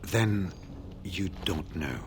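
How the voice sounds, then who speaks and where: An actor playing a grave veteran warden scout in a fantasy video game, speaking in a formal, measured way. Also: male voice, low voice, expressive, background noise, full character voice.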